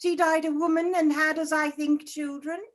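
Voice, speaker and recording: An actress playing a solemn, commanding Ghost of Christmas Past in a radio play, with a formal, deliberate delivery. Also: female voice, high-pitched, level tone, natural voice.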